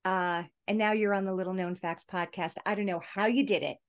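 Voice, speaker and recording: A woman's voice: medium pitch, steady tone, a little fast. A woman hosting a podcast in a chatty, effusive and appreciative way. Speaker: podcast host. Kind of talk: warm-worded interview chat and show sign-off.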